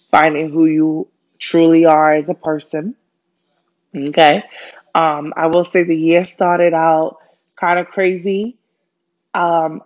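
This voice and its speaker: Low-voiced chatty Jamaican woman podcaster. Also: highs cut off. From Jamaica, raised in Brooklyn. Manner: chatty, friendly, many fillers and "okay?" check-ins. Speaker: podcast host. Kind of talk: casual solo podcast monologue.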